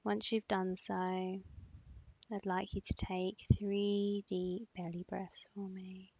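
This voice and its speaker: A woman leading a guided meditation in a soothing, instructing manner, with long pauses and breathy phrase endings. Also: medium pitch, flat delivery, darkish tone. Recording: slightly muffled, dull close dry recording.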